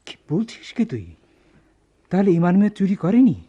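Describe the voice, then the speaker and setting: A proud bangladeshi gold merchant in a radio play, bit high voiced, emphatic, dull old sound. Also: male voice, animated, normal speed, warm tone. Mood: stern, suspicious. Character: proud gold merchant boss, accusing, then remorseful. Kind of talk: Bengali radio play acting. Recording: dull lo-fi radio drama recording.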